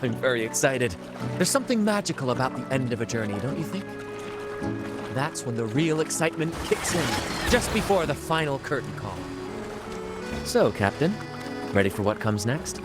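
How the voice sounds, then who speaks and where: A man's voice: medium pitch, animated, moderate pace, loud music behind the voice, natural voice. A man playing a flamboyant, cocky pirate rogue in a video game, with a playful, mocking, grandiose manner. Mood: playful, excited. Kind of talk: video game character acting.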